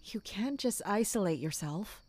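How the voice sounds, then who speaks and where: A woman playing a concerned, patient servant and confidante in a fantasy audio drama, speaking gently and with measure. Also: medium pitch, expressive, unhurried, dry recording. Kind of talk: fantasy audio drama acting with first-person narration.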